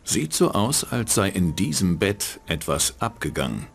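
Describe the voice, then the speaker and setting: An actor playing a cynical, hard-boiled private detective in a dubbed game, with a dry, sardonic delivery. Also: male voice, low voice, big pitch swings, a little slow, gravelly, slightly rough, full character voice. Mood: dry, wry. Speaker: narrating game protagonist.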